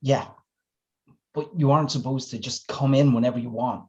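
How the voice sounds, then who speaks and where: An actor playing an awkward, sarcastic, lovesick young man in a screenplay table read, with halting, stammering delivery. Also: mid-range voice, fairly even intonation, lo-fi sound, natural voice.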